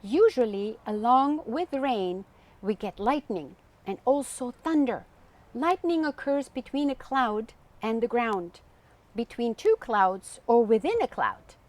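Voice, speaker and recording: A kindly weather-expert uncle from a storybook, as a woman reads him. He explains patiently at a very unhurried pace, in a light, fairly high voice with plenty of lilt. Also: sing-song, a little mellow. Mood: patient, instructive.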